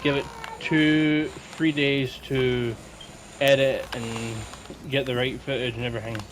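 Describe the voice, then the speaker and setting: Male co-host with an average-pitched voice, informal chat, noticeable noise on the recording. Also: level tone, a little slow. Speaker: podcast co-host and editor. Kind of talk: casual chat.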